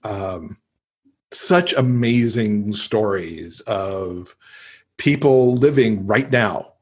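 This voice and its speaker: A man with an American accent and a fairly low, slightly husky voice talks as a podcast guest. He chats casually and enthusiastically, like a keen reader sharing favorites. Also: extremely slow. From United States. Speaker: podcast guest, book lover. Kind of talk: casual interview chat, recommending books.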